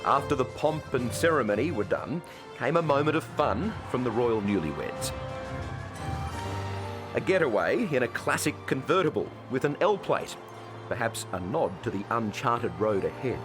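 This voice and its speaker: Male Australian reporter for scripted documentary voice-over, mid pitch with lively inflection, loud music bed. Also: normal speed. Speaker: television reporter.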